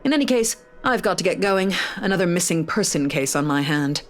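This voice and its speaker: A woman's voice: fairly low pitch, animated, brisk, faint music behind, lightly acted. A woman playing a blunt, world-weary police detective in a visual novel game. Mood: weary, wry.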